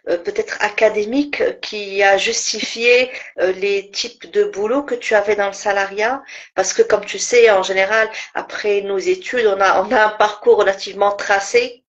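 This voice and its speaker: A woman's voice: medium pitch, normal speed. A woman hosting a podcast, interviewing a guest in a conversational way over a lo-fi online connection. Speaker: podcast host.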